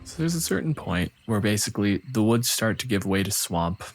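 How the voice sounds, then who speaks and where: A man's voice: lowish voice, very animated, brisk, vocal fry, faint music behind. A man improvising narration as the game master of a tabletop fantasy game.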